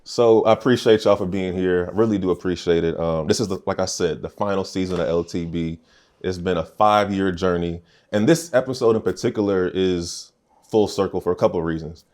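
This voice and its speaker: Deep-voiced male podcast host. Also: brisk. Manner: relaxed, conversational, uses slang. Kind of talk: casual podcast conversation.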